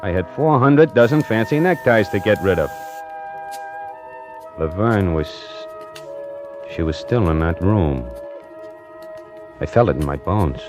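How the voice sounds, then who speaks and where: Streetwise, restless salesman narrator, low voice with big swings, 1940s radio suspense, music behind. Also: lively intonation, a little slow, long pauses. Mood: brooding, intrigued. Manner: streetwise, colloquial, dramatic. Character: slangy, restless, hard-up male necktie salesman narrating his troubles. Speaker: lead actor and narrator of a radio suspense play.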